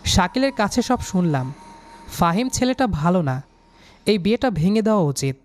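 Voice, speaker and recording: A man's voice: sits high, animated, normal speed. Young man narrating a romantic story. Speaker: storyteller, lead character. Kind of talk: scripted first-person romantic story narration.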